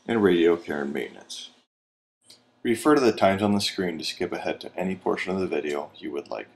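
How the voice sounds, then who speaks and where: Male narrator for a how-to training video, low register, plain scripted step-by-step read.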